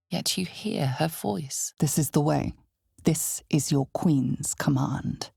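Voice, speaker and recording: A woman playing an ominous, knowing narrator of a dark fantasy game, speaking in a measured, theatrical way that turns hushed and breathy at times. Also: low-pitched, no room sound, clean studio sound, heavily acted. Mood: commanding, persuasive.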